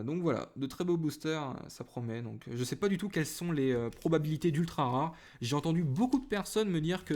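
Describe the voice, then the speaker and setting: A chatty man at an ordinary middle pitch talks casually to his viewers, hesitating often and restarting his sentences. He keeps apologizing for small slips and pokes fun at himself along the way. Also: darkish tone. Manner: self-deprecating, apologetic asides. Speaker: YouTuber opening trading card packs. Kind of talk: casual chat to camera.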